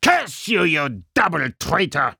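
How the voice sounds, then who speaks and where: A man voicing a proud captured German spymaster, bitter and furious, with slow, grim, deliberate speech, in an audiobook. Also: medium pitch, lively intonation, no room sound, studio quality. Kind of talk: audiobook character acting.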